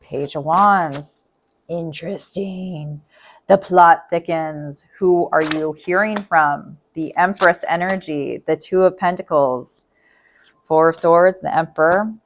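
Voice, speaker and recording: Chatty woman, voice going hoarse. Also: low-pitched, slow pace. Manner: chatty, thinks aloud, repeats phrases. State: losing her voice. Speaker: tarot reader. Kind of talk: casual tarot reading to camera.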